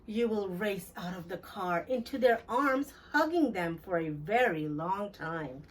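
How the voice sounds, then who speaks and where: A woman reading a children's storybook aloud in an expressive, deliberate storytelling manner for young listeners. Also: medium pitch, distant mic. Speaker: children's book read-aloud host. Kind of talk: scripted storybook narration for children.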